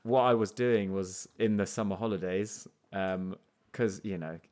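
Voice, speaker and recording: Deep-voiced, easygoing man. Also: fairly even intonation, unhurried, darkish tone. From grew up in Portugal, then England. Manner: reflective, loose and easygoing, with frequent restarts. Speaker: artist guest. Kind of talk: casual conversational interview.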